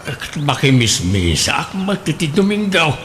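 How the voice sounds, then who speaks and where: A man playing an easygoing, evasive rascal in an Ilocano radio comedy drama, casual and animated, with an Ilocano accent. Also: on the high side, moderate pace, a little hiss or hum.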